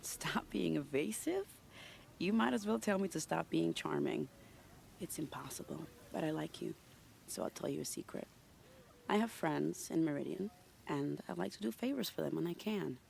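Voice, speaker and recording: A woman's voice: sits low, normal speed, vocal fry, darkish tone, slight background noise. An actress playing a sly, flirtatious female spy in a game, teasing and confiding in a playful tone. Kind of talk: video game cutscene acting.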